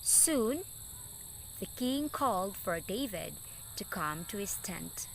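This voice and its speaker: A woman storyteller reading a scripted children's story with deliberate, dramatic emphasis. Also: medium pitch, lively intonation, gravelly, a bit bright, a little hiss or hum. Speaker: storyteller, teacher.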